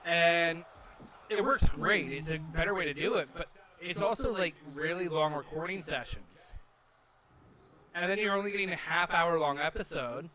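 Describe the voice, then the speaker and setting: A man's voice: on the high side, moderate pace, sharp tone, rough recording. A man casually chatting while playing a wrestling video game, rambling and self-assured with lots of filler words. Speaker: gaming channel co-host. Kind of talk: casual chat over gameplay. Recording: game crowd noise underneath. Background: arena crowd from the video game.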